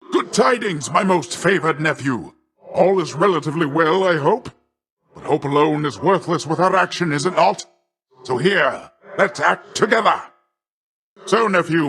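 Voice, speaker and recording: An actor playing a boastful, middle-aged sea god in a video game, a hearty and doting uncle with a grandiose, jovial, theatrical delivery. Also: male voice, on the high side, a bit bright, natural voice. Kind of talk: video game character voice lines.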